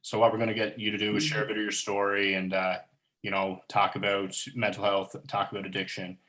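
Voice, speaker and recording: A man's voice: lowish voice, completely flat delivery, brisk, sharp tone, low-quality recording. A man from Newfoundland hosting a recovery podcast, chatting in an easygoing way. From Corner Brook, Newfoundland. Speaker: podcast host. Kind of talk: casual podcast chat.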